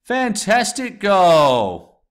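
Mock goal commentator, high-pitched shouty play-by-play, a man hamming it up. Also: wildly swooping pitch, drawn-out words, exaggerated voice. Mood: excited. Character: excited male sports commentator calling a goal.

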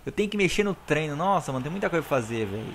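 A young Brazilian man, hoarse from recording, giving excited, slangy commentary over his own football video game match and talking straight to his viewers. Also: a little high, racing, a little hiss or hum. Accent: Brazilian Portuguese. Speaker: gaming YouTuber. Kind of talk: live gameplay commentary.

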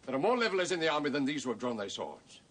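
A British actor playing a pious, commanding Puritan general with an authoritative, sermonising manner in a period drama. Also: male voice, medium pitch, sing-song, rapid-fire. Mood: stern persuasion. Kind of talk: period television drama acting.

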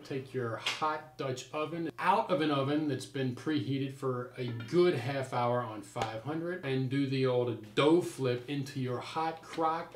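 A man's voice: a little high, noisy background. An American man in his forties giving a playful, folksy, casual cooking demonstration in a home kitchen. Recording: roomy kitchen echo.

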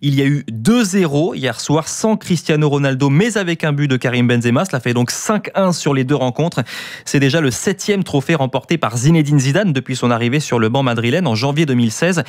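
A young man presents a scripted sports bulletin. He speaks at a middle pitch into a close microphone, and the studio sound is dry. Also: lively intonation, brisk, dry recording. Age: 20s. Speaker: radio sports news presenter. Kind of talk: scripted news reading. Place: radio studio. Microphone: close studio microphone with headset.

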